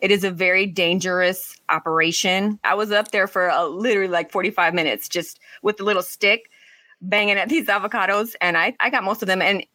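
A woman co-hosting a chat show, talking casually with animated, playful exaggeration. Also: medium pitch, a little fast, no room sound.

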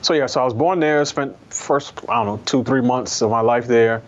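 A man born in Barbados and raised in New York, telling his family story in an interview in a thoughtful, measured way with frequent pauses. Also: medium pitch. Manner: thoughtful, measured, many ums and restarts. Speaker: guest columnist. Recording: slightly dull lo-fi sound.

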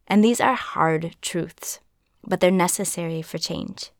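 A woman from Minnesota hosting a podcast, narrating a script in an earnest, measured way. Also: lowish voice, no echo, studio quality. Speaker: podcast host.

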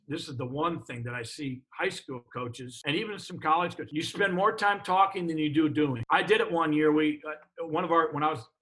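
A man's voice: on the high side, bright, forceful tone, rough recording. A middle-aged man, a basketball coach, talking practice in casual chat, emphatic and often restarting his sentences. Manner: emphatic, repeats words while restarting sentences.

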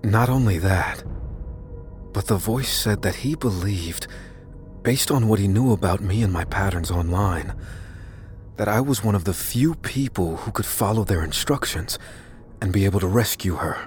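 A male actor plays an unassuming, anxious man telling his own thriller story. The voice sits fairly low and moves in measured, confessional phrases with deliberate pauses. Music plays faintly underneath. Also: darkish tone, natural voice. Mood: grave, intent. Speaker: narrator. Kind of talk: first-person dramatic narration in an audio thriller.

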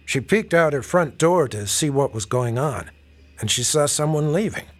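An actor playing a middle-aged police detective in a radio drama, folksy and probing with casual insinuation. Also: male voice, on the high side, expressive, moderate pace. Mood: insistent.

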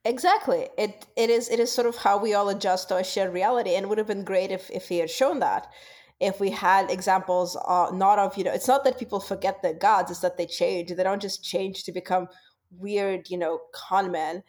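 A woman's voice: medium pitch, moderate pace, darkish tone. Russian-accented woman, thoughtful talker. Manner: thoughtful, with frequent restarts and repeated words. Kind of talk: casual discussion.

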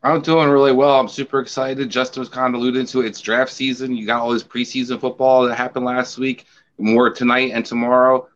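Male co-host, rapid, excited chatter, dull lo-fi sound. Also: mid-range voice. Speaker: podcast co-host. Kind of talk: casual podcast chat.

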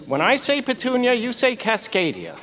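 A man's voice: very high-pitched, huge pitch swings, normal speed, crowd noise, exaggerated voice. An actor playing a fussy, washed-up male bird who once voiced a radio hero, talking in a rambling, flustered, theatrical way. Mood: awkward. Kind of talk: audio drama acting.

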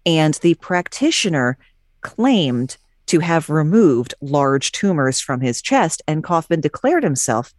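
Low-voiced woman with wry, deadpan asides. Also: slow pace, no room sound, clean studio sound. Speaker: podcast guest. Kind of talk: casual chat, reading facts from notes.

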